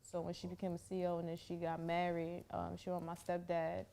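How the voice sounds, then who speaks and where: A woman's voice: on the low side, completely flat delivery, a little fast, creaky, warm tone. A young woman from Jersey City, New Jersey, guesting in a casual interview and talking loosely with lots of fillers and repeated phrases. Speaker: guest, rapper.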